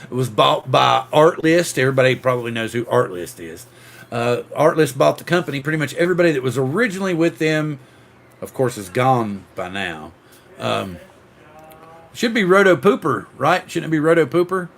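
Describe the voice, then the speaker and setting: A man's voice: mid-range voice, expressive, normal speed, vocal fry. A middle-aged man hosting a casual podcast chat, rambling and jokey, laughing often. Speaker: podcast host. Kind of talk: casual chat on a livestream panel.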